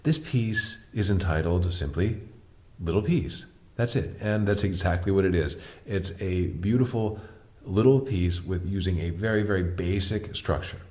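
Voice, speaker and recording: Deep-voiced man teaching piano. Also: normal speed, a little mellow. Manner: patient, explains step by step, asks the viewer questions. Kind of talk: online music lesson.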